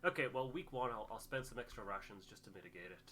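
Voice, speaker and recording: Bright-voiced man casually commentating a game. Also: fairly low pitch, animated, quick pace, a little hiss or hum. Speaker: gaming host, player.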